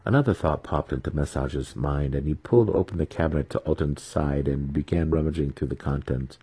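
A man's voice: a bass voice, fairly quick, warm tone, breathy edge, no room sound. A man giving a dramatic storybook narration as the host of a reading series.

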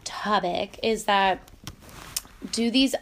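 A woman's voice: mid-range voice, slow pace, a bit bright. A young woman who interviews in a casual talk, thoughtful and hesitant, with many "like" fillers. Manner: reflective, hesitant, heavy "like" fillers. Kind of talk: casual conversation.

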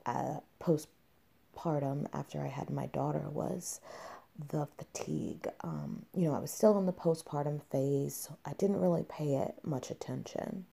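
A woman's voice: sits low, vocal fry, dark tone, slightly rough. A young woman hosting a candid solo podcast, talking with many fillers and restarts and sounding a little nasal from congestion. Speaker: podcast host.

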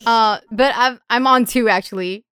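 A woman's voice: a little high, normal speed, a bit bright, clean tone, no echo. A woman talking casually as a podcast guest, informal, giggly and a little self-deprecating. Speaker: podcast guest and group member. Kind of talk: casual chat.

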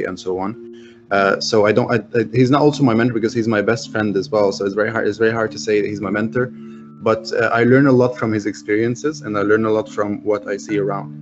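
A man's voice: on the low side, dull audio. A man from Egypt, a French speaker since school, talking earnestly as an interview guest, with many restarts. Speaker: interview guest, business owner. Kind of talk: casual interview talk.

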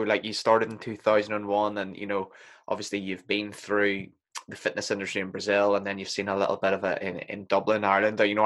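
A man's voice: lowish voice, a bit bright. A man hosting a podcast, chatting casually with a guest and often restarting his sentences. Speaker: podcast host. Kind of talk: casual interview chat.

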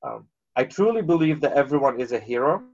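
A man in his thirties, from Lebanon, talking casually as an interview guest and pausing often on filler sounds. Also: mid-range voice, lively intonation, creaky, lo-fi sound. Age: 30s. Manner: halting, many filler uhs.

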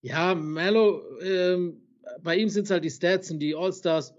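A man's voice: sits high, takes it slowly, dull audio. A man in a casual podcast chat, passionately reeling off sports stats, swearing now and then for emphasis, with many fillers and tag questions. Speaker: podcast co-host. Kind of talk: casual basketball debate.

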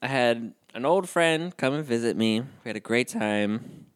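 A man's voice: mid-range voice, a little slow, clean tone, no room sound, clean studio sound. A man in his thirties co-hosting a casual podcast chat, playful and joking. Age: 30s. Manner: playful, jokey, animated. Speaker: podcast co-host.

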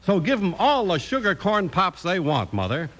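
A male announcer from vintage radio with a fairly high voice and animated inflection. He delivers scripted copy in a polished, emphatic salesman's manner. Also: moderate pace, rough recording.

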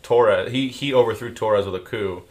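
Young man host, low and attentive. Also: a little mellow. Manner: attentive, quick short back-channel replies. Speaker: podcast host. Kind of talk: casual interview chat.

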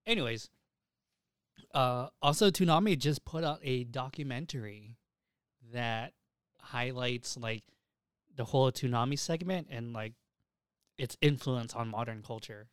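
A man's voice: medium pitch, unhurried, pauses often, clear, resonant tone, no room sound, studio quality. A young man co-hosting a casual anime news podcast, rambling along and swearing freely. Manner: profane, rambling. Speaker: podcast co-host.

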